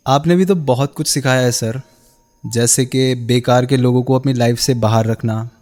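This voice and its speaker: A man's voice: medium pitch, fairly even intonation, normal speed, a little mellow, natural voice. An actor as a reserved, principled man. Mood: modest, respectful. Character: reserved, principled male interviewer, quietly wounded former lover. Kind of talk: radio drama acting.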